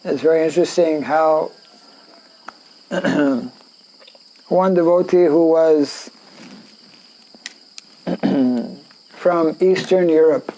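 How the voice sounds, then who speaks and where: Slow, gravelly French Canadian man. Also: fairly high pitch, warm tone, rough recording. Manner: halting, with fillers and frequent throat clearing. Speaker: teacher reading and explaining scripture. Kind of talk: scripture class, reading a verse and commenting. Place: indoor class in a rural town.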